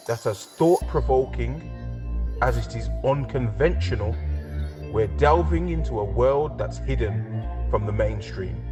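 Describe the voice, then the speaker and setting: A man giving a dramatic, measured scripted narration for an episode intro. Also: medium pitch. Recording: over loud music.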